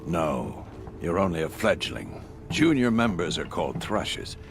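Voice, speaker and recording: An actor playing a courteous veteran hunter in a video game who greets newcomers in a formal, explanatory manner. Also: male voice, low-pitched, big pitch swings, a little slow, creaky, background noise, full character voice. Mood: politely explaining.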